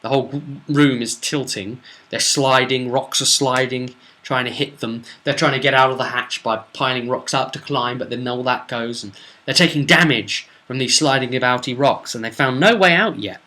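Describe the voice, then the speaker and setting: A man with a mid-pitched, sharp and bright voice recounts a tabletop role-playing adventure. He speaks as the game master, in an informal storytelling style. He leans on dramatic emphasis to carry the action. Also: moderate pace. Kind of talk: casual recap narration of a tabletop role-playing session.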